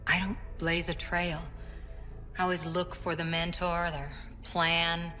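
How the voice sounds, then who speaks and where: A woman's voice: lowish voice, little pitch movement, unhurried, pushed and bright, in a large hall, faint music behind. An actress playing a principled, self-doubting woman soldier in a video game cutscene. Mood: downcast, confiding.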